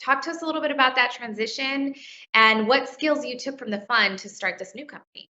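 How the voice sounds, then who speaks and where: A woman's voice: high-pitched, a little fast, pushed and bright. A woman, a technology reporter, hosting and moderating a live interview, friendly and a little flustered at first. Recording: lo-fi with a roomy echo.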